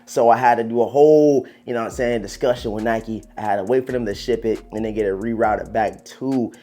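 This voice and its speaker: A slightly hoarse man who has partly lost his voice, hosting a video with casual, slangy hype chat aimed straight at his viewers. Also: lowish voice, brisk, warm tone. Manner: slangy, informal, addressing viewers as bro and man. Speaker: sneaker video host.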